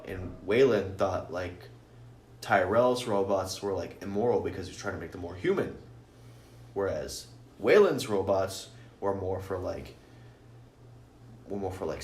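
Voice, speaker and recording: A man co-hosting a podcast, chatting casually about films in an argumentative, sweary way. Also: deep voice. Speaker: podcast host. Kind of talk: casual film-fan chat. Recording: echoey room with clear background noise.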